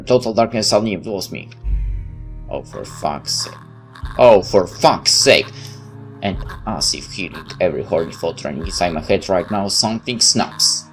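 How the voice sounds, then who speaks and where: A man hosting a gaming video, reading visual novel text aloud with stumbles and exasperated, sweary asides. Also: low voice.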